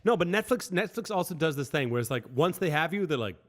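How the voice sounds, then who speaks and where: Fast-talking profane young man. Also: on the high side. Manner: profane, animated ranting. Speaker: podcast co-host. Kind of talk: casual chat.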